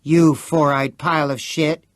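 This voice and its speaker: A man's voice: high-pitched, wildly swooping pitch, a little slow, a little mellow, breathy edge, pitched above the performer's own voice, heavily acted. A man voicing a brash, scornful teenage boy in an audiobook reading.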